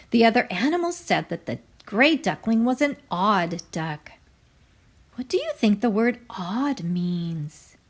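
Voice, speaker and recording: A woman teacher reading a story aloud to young children, expressive and carefully enunciated, pausing to explain. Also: mid-range voice.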